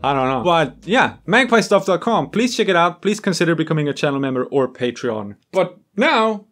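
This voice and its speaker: A man's voice: on the high side, very animated, moderate pace, a bit bright. A man in his thirties talking in a chatty, enthusiastic way, like a casual demo vlog, into a desk microphone in a small studio. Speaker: music gear video creator, host. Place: small home studio room full of instruments. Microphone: desk microphone on a boom arm. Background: synth music.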